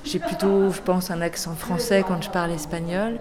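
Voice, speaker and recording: A woman's voice: lowish voice, level tone, normal speed, a crowd in the background. A young woman from Chile, a singer, chatting casually in an interview with hesitant fillers. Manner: hesitant, many fillers, laughs softly. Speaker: singer guest.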